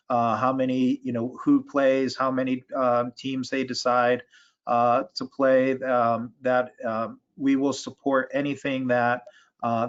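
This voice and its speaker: A man answering questions as an association official in an online meeting, speaking in a halting, measured way with false starts. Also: medium pitch. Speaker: education and school sports association official. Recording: dull, lo-fi video call audio.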